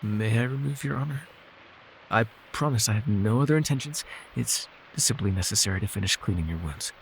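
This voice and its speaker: A low-voiced, gravelly elf healer, acted by a man, ancient and weary yet gentle. He murmurs in measured, old-fashioned phrases with a wry, teasing edge, as in an intimate fantasy ASMR roleplay, over faint background ambience. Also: animated, a little hiss or hum, low-quality recording. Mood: soothing. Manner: measured, formal archaic phrasing, soothing.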